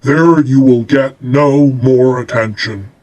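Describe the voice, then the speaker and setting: A man's voice: medium pitch, slow pace, a little mellow, voice pushed down, lightly acted, poor audio. A man voicing a wise, stern male ogre who judges others in a storybook reading.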